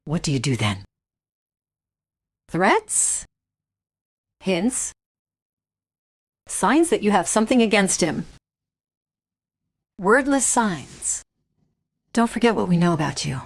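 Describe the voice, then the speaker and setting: An actress playing an anxious, suspicious wife who questions pointedly in a radio play. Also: female voice, sits low, breathy edge, heavily acted.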